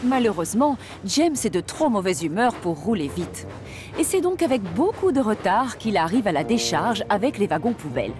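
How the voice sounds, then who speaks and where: Animated woman narrating a children's story. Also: mid-range voice, normal speed. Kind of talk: scripted children's cartoon narration. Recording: music behind the voice.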